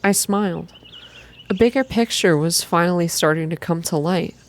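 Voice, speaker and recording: Soft-spoken woman reading, lowish pitch. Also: moderate pace. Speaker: reader, narrator. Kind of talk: ASMR reading of a visual novel, scripted first-person narration.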